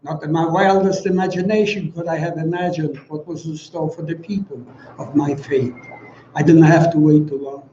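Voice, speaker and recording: An elderly man with a Polish accent delivers a solemn public testimony in a high-pitched, soft-toned voice. He speaks formally and deliberately, pausing for emphasis. The sound is distant and very echoey, as if in a large room. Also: steady tone. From Poland. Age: around 90. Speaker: guest speaker, Holocaust survivor giving testimony. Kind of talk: prepared commemorative speech. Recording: echoey, dull, distant, faint noise.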